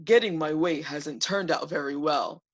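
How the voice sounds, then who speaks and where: A woman voicing a young male pet dragon from a children's storybook, hot-tempered, gruff and petulant. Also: low voice, unhurried, pitched below the performer's own voice. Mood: sorry, miserable.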